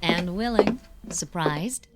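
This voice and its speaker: A woman in her thirties about to elope. Her lowish voice is confident and playful, full of dry, teasing banter in a naturalistic radio drama style. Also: lively intonation, slow pace, loud music behind the voice. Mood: wry, teasing. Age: 30s. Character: wry, teasing, confident thirty-one-year-old bride-to-be.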